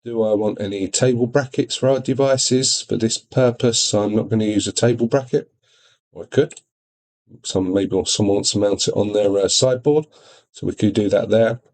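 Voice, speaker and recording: A man demonstrating software in an informal tutorial, explaining things step by step. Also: on the low side, fairly even intonation, normal speed. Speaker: product demonstrator.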